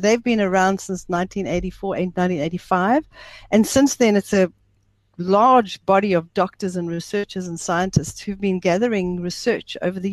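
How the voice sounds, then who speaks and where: A woman's voice: medium pitch, a little mellow, dry recording. Middle-aged South African woman, emphatic interview guest, very dry close sound. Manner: emphatic, talkative, explains at length. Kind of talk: interview conversation.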